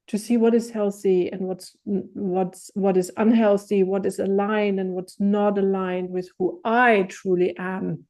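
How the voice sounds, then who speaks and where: A woman's voice: medium pitch, little pitch movement, unhurried, few pauses, a little mellow. A woman hosting a podcast, asking long, thoughtful questions and often restarting as she builds them. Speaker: podcast host. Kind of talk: interview questions.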